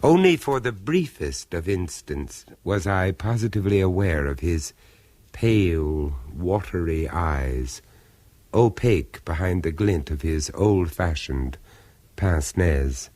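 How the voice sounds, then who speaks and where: Deep, urbane gentleman storyteller. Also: expressive, no echo, exaggerated voice. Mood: wry, ominous storytelling. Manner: measured, refined, theatrical delivery. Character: urbane, reserved gentleman narrator, wry and aloof. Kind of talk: 1970s radio horror drama narration and dialogue.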